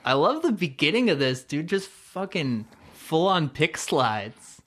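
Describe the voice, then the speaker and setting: A man's voice: fairly high pitch, sing-song, unhurried, fairly bright tone. A man hosting a podcast, talking in a laid-back, jokey way and swearing casually. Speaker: podcast host.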